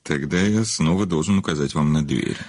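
Male, audio play: imperious famous author, deep and husky, brusque, with halting hums. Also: darkish tone, no room sound. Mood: irritated, dismissive. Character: haughty, brusque, celebrated male novelist.

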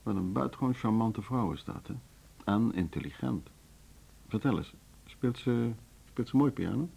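A man's voice: on the low side, moderate pace, gravelly, warm tone, husky, heavily acted. An actor playing a courteous, formal middle-aged chairman of a music school board in a Dutch radio drama. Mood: admiring, wistful. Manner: formal, polite, wordy.

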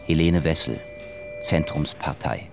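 A man's voice: extremely low pitch, lively intonation, unhurried, gravelly, a bit bright, soft background music, full character voice. A man making terse, matter-of-fact announcements for an audio documentary.

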